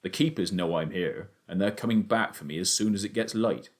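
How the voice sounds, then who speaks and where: A man voicing a steady, affectionate father who is trapped and injured, in an audiobook story. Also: low voice, fairly quick, lightly acted. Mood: pained but steady.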